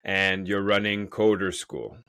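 A man's voice: low-pitched, fairly even intonation, unhurried, sharp tone, smooth, no echo. A man hosting an interview podcast, chatty and asking leading questions in a friendly, casual way. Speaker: podcast host.

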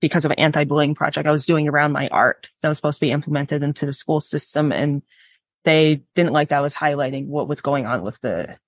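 A woman in her twenties sharing personal experience candidly as an interview guest, pausing to choose her words. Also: low-pitched, steady tone, no room sound. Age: 20s.

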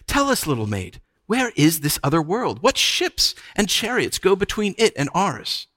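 A storybook witch queen, played by a male reader, soft and musical, pitched high. She coaxes and soothes in old courtly phrases, with mockery underneath. The sound is close and dry. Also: very animated, no room sound, exaggerated voice. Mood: coaxing, half-mocking. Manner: archaic courtly speech, soothing, drawn-out. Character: sweetly coaxing, mocking witch queen of the underworld. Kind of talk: audiobook character voice.

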